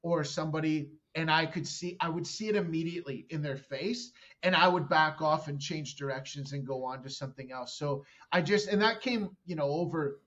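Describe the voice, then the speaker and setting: A man's voice: fairly high pitch, brisk, few pauses, a bit bright, muffled recording. A man in his thirties, a comedian guest telling a long story on a podcast, rambling and swearing and cutting himself off mid-sentence. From Canada. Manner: rambling, profane, self-interrupting storyteller.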